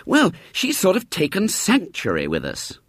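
A man puts on a bookish storybook schoolboy with a high, lively voice. He reasons things out earnestly, hesitating as he explains. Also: moderate pace, no room sound, clean studio sound, full character voice.

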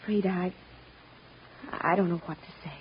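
A woman's voice: a little high, big pitch swings, a little fast, noisy background. A woman playing a conciliatory mother and lady of the house, earnest and placating, in an old radio drama. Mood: pleading. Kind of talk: 1940s-50s radio acting. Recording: dull old radio broadcast recording.

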